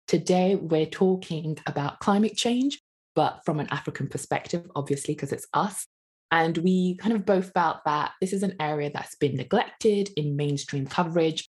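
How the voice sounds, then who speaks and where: Low-voiced woman co-host. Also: darkish tone. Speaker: podcast co-host. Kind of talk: scripted introduction and interview.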